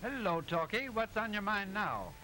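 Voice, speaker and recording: A man playing a brisk, confident doctor who explains things in a declamatory, instructive way, on an old 1920s film soundtrack. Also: sits high, sing-song, heavy background noise. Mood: brisk, commanding.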